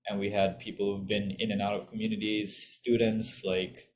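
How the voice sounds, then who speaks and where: Young man, lowish voice, excitable, lots of filler words. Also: moderate pace, slight background noise. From Sri Lanka. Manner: animated, full of fillers like "like". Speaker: student. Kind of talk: casual talk in an online info session.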